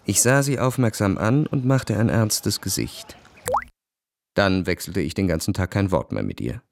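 Low-voiced cynical young officer. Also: male voice, lively intonation, normal speed, vocal fry, background noise. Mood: detached, wry. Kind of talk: German radio play, narration and dialogue.

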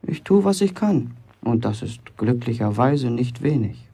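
An actor playing a boastful, self-important teenage apprentice bricklayer from a village, chatting colloquially in a radio play monologue. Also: male voice, mid-range voice, big pitch swings, measured pace, vocal fry, mellow, husky, heavily acted. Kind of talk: German radio play acting, first-person monologue.

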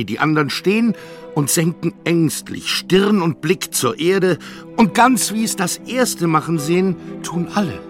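A man's voice: a little high, exaggerated voice. An actor playing a sceptical, formal academic man with a dry, wordy manner in a German radio play. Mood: solemn reciting. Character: sceptical, correct academic man, reluctantly gripped.

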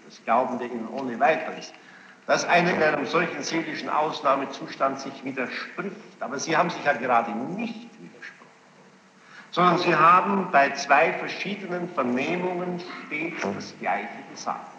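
Judge in an echoing hall. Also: male voice, on the high side, lively intonation, a crowd in the background. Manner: formal, probing, deliberate phrasing with insistent recapitulation. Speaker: presiding judge questioning a witness. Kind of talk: courtroom questioning. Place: large reverberant courtroom with gallery. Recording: noisy, muffled 1960s courtroom tape, echoing hall.

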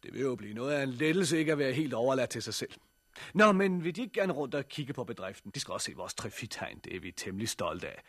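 Careful farm leader in 60s radio drama, husky mid voice, polite n questioning, dry recording. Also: male voice, mid-range voice, very animated, a bit bright, no room sound. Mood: falsely agreeable. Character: wary, pragmatic male survivor and farm leader. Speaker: radio actor. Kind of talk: 1960s Danish radio drama acting.

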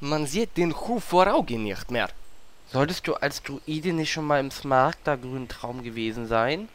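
A man's voice: mid-range voice, expressive, measured pace, no echo, lightly acted. A man voicing a dry, sarcastic male tauren warrior in a fan audio drama.

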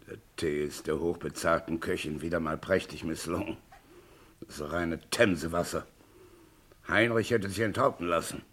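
Grumpy, imperious rich knight. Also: male voice, sits low, moderate pace, a bit bright, slight background noise. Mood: grumbling. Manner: gruff, imperious, grumbling. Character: grumpy, bored, snobbish rich businessman knight. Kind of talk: German radio crime play acting.

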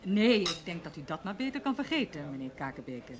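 A woman's voice: lowish voice, big pitch swings, brisk, a crowd in the background. An actress playing an eager, evasive woman journalist in a Dutch radio play, hesitant and flustered. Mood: defensive, evasive.

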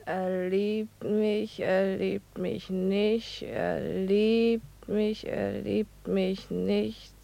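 A dreamy seventeen-year-old girl lazing in a meadow in a radio play. She draws her words out very slowly in a drowsy sing-song, with a slight creak. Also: mid-range voice, little pitch movement, warm tone.

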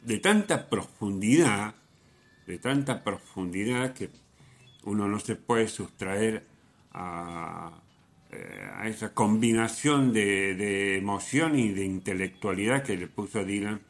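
A man hosting a radio programme, giving reflective music commentary in a halting way, often repeating himself as he searches for words. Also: on the low side. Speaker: radio host.

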